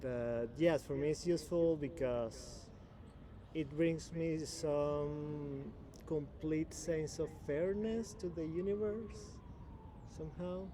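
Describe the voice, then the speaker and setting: A man's voice: on the high side, fairly even intonation, slow. Reflective Venezuelan man in a noisy spot. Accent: Venezuelan Spanish. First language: Spanish. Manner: reflective, searching for English words, laughs often. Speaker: guest. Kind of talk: casual conversational interview.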